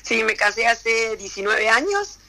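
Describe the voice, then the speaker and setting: A woman with an Argentine Spanish accent answers questions in an easy, chatty way. Her voice is very bright and bright-edged. She often hesitates, trailing off and starting again. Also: on the high side, sing-song, measured pace, gravelly. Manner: hesitant, many fillers and restarts. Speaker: interview guest. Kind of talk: casual conversational interview.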